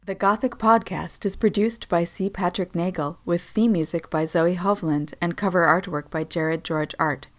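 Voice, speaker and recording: A woman with a somewhat low-pitched voice. She serves as announcer, delivering a scripted read of a podcast's credits. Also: steady tone, moderate pace, few pauses, fairly bright tone.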